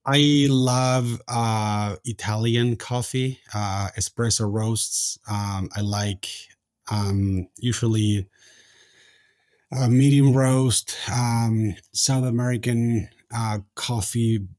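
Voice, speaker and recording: A man's voice: medium pitch, fairly even intonation, slow, darkish tone, dry recording, clean studio sound. A man in his forties from Argentina, answering interview questions in a hesitant way with many fillers and restarts. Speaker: podcast guest, company founder.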